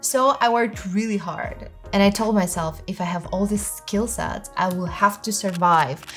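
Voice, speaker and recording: A woman's voice: mid-range voice, moderate pace, music in the background. A woman in her thirties from Kazakhstan with a Kazakh accent, earnestly telling her own life story as a filmmaker. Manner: earnest, reflective, lists in short phrases. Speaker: filmmaker vlogger. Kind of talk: personal storytelling to camera.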